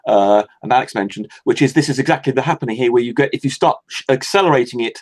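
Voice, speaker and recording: A man's voice: medium pitch, fast. A man explaining at length in a casual online voice chat, with rambling restarts. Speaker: call guest.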